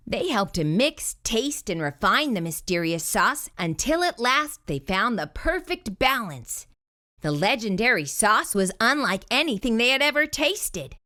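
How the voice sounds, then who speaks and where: Woman, children's read-aloud storyteller, fairly high voice, very lively intonation, theatrical emphasis. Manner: animated, theatrical emphasis on exclamations. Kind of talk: expressive children's picture book read-aloud.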